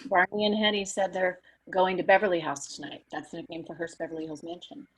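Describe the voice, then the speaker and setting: Woman playing a husky, eager female historian. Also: on the low side, fast, rough recording. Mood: earnest explaining. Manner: explanatory, excitable. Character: eager, knowledgeable young female historian, easily starstruck. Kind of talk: amateur table read of TV drama script.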